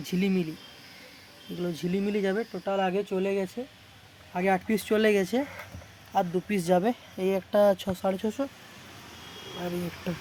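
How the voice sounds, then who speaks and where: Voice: mid-range voice, a little mellow, poor audio. A speaker informally showing repair work as a technician, often repeating themselves and adding little check-in tags. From India. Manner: repetitive, frequent check-in tags. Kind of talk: informal product showcase vlog. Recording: clear background noise.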